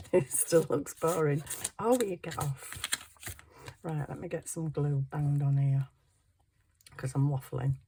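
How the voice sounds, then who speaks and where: A hoarse middle-aged woman chatting casually to herself while crafting, muttering and poking fun at herself. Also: deep voice, fairly even intonation, slow pace, long pauses, noisy background. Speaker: craft channel host.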